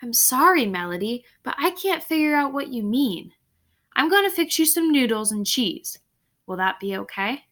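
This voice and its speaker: A woman's voice: sits high, very animated, moderate pace, a bit bright, voice pushed up, heavily acted. A woman reading the part of a patient, puzzled father who coaxes and questions his daughter in a storybook. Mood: gently offering. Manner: questioning, coaxing.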